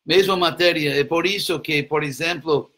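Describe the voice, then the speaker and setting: A man giving a spiritual lecture and answering questions, unhurried and explanatory with a gentle humor. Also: on the high side. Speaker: spiritual teacher.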